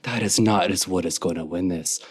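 A man's voice: deep voice, brisk, breathy edge, voice pushed down. A man voicing a self-important, flowery adventurer in a tabletop game. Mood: grandiose. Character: grandiose, self-assured male adventurer. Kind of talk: in-character roleplay.

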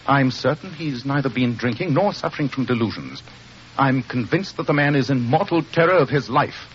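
A man's voice: mid-range voice, lively intonation, normal speed, close to an everyday voice. An actor playing a brilliant, aloof male detective with a dry, formal, assured manner in an old radio mystery drama. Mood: intent. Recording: muffled, noisy old radio recording.

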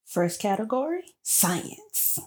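Teasing woman with lively pitch. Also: drawn-out words. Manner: teasing, animated. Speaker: quiz writer and presenter. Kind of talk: casual chat.

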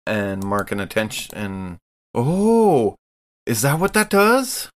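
A man playing a tabletop game, casually improvising a description of what his character does, haltingly, searching for words. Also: medium pitch, very animated.

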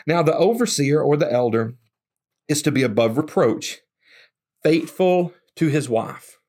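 Emphatic pastor, fairly high voice. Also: male voice, expressive, unhurried, darkish tone. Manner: conversational, emphatic, repeats words when starting. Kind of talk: sermon.

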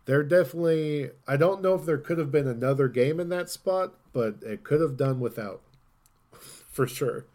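A man's voice: on the high side, normal speed. Casual, opinionated guy podcast host. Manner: opinionated, thinking out loud. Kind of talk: casual gaming podcast chat.

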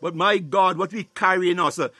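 A man's voice: high voice, brisk, bright, forceful tone, slightly rough, no echo. A man preaching a fervent charismatic sermon and prayer, breaking his phrases with repeated exclamations.

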